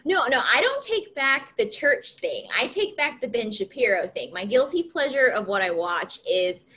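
A woman in her mid-thirties chats casually with a co-host, bubbly and gushing, with giggles slipping in. Her voice sits a bit high and swoops widely up and down. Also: very animated, moderate pace, sharp tone. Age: 30s. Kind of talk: casual chat.

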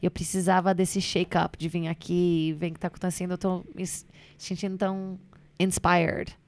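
A woman's voice: fairly low pitch, level tone, normal speed, no room sound. A Brazilian woman, a stand-up comedian, chatting loosely in an interview with many fillers and English words mixed in. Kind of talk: casual interview chat.

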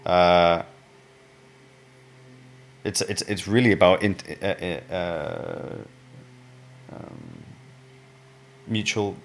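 A man's voice: low voice, very slow, creaky, pauses often. A man in casual conversation, explaining at length with frequent restarts and stammered repeats. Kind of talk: casual chat.

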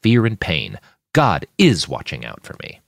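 A man's voice: sits low, huge pitch swings, measured pace, vocal fry, no echo, heavily acted. A man reads a devout young woman in an audio story. She is earnest and naive, with swooping intonation and a dry, close sound. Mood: self-admonishing.

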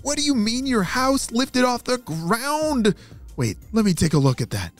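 A man voicing an excitable, goofy adult neighbor who exclaims loudly and gets flustered. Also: very high voice, measured pace, faint music behind, pitched above the performer's own voice, exaggerated voice.

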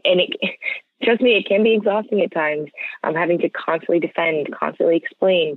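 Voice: mid-range voice, vocal fry, no room sound. An American interview guest speaking earnestly and conversationally, explaining points and often checking with "right?". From United States. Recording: narrow, tinny phone-line sound.

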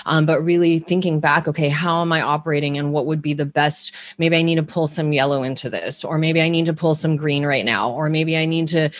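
A woman's voice: low-pitched, steady tone, fairly quick, few pauses, no echo, studio quality. A woman from Hawaii co-hosting a podcast, chatting casually in a rambling way, often restarting and laughing. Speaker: podcast co-host. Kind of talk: casual chat.

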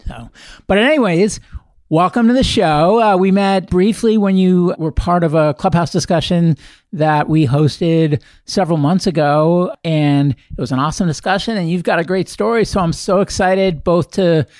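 A man hosting a podcast interview, upbeat and chatty, with quick asides. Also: high-pitched, darkish tone, no room sound, clean studio sound. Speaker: podcast host.

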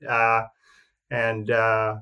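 A man in his fifties who grew up in the Philippines, a photographer talking about his career in a relaxed, rambling way with many fillers. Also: on the low side, extremely slow, smooth, muffled recording. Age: 50s. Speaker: guest, professional photographer. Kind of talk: casual interview talk.

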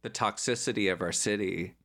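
A man's voice: lowish voice, a little fast, fairly bright tone. A man co-hosting a comedy podcast, rambling and giggling through casual jokes with his co-host.

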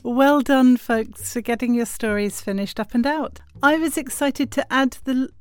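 A woman's voice: high-pitched, normal speed. A woman co-hosting a podcast promo, cheerful, with lightly scripted banter and announcer flourishes. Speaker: podcast host and festival organiser.

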